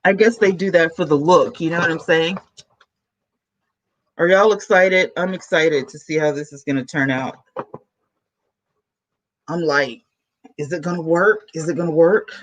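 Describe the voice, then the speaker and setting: A woman YouTuber, chatting excitedly to her viewers from home while she sets up a new microphone. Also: deep voice, unhurried, long pauses, rough recording. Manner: chatty, excitable, talks to chat. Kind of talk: casual vlog chat to viewers. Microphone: camera mic, then a new plug-in USB mic.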